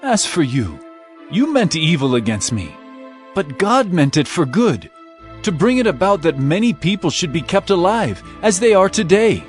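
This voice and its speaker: A man acts out a solemn, forgiving biblical brother of high standing. His pitch sits a little high and moves expressively. The delivery is earnest and theatrical, in a scripture drama with light music behind. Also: sing-song, moderate pace. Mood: reassuring, earnest.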